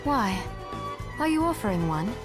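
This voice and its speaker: A polite young heroine in a video game. She is coolly self-possessed, her voice a little high, with music clearly behind her. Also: sing-song, normal speed. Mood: cool, wary. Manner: composed, courteous. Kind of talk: video game cutscene acting. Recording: music under the voice.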